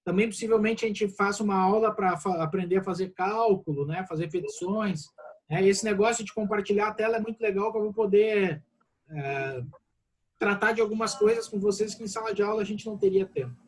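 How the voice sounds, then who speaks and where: A man's voice: high voice, fairly quick. A Brazilian man, a law professor on a video call, talking casually and reassuringly to his students, peppering his speech with "né?". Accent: Brazilian Portuguese. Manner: casual, warm reassurance with frequent "né?". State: slightly congested. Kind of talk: online class Q&A.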